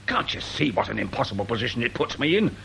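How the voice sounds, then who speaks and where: Fussy older british council bigwig, indignant and pompous, radio drama, pitch all over, faint hiss. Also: male voice, sing-song, fast talker, vocal fry, a bit bright. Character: fussy, blustering older male town council chairman.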